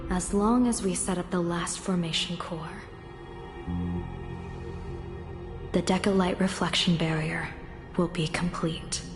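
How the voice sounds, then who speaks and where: An actress playing a poised, shrewd female general in a video game, speaking in a measured, formal, wryly amused manner. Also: fairly low pitch, steady tone, natural voice. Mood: assured. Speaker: military commander. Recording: roomy echo with game music behind.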